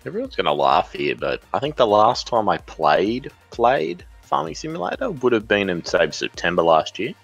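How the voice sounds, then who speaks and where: Guest modder from Australia, low male voice with lively swings, modest tone. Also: moderate pace, vocal fry, hardly any pauses, husky, highs cut off, faint music behind. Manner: modest, self-deprecating. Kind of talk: casual voice chat.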